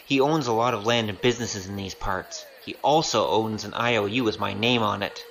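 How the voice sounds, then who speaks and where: An actor playing a cheeky, roguish young gambler with a wry manner, in an audio drama. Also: male voice, on the low side, a little fast, slight background noise, low-quality recording. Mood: wary, alarmed. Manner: animated, wry.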